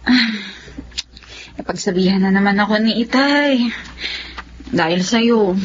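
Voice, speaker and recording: An actress playing a responsible, patient young woman in a radio drama, earnest and gently firm. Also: medium pitch, unhurried, dull audio, slight background noise, natural voice. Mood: hurt, reproachful. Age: young adult. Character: responsible, patient young daughter and reproachful fiancée.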